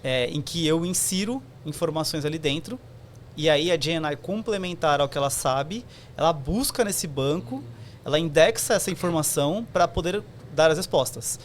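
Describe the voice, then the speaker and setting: Higher-voiced man explaining tech. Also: moderate pace, a little hiss or hum. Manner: conversational, many fillers and restarts while explaining. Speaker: tech podcast guest. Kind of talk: explanatory podcast talk.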